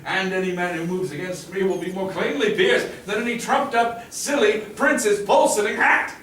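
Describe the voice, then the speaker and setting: A man's voice: high voice, fairly even intonation, moderate pace, fairly bright tone, slight background noise. A man voicing a proud, boastful aging hero at a table read, declaiming in a roomy room with a distant mic. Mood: defiant.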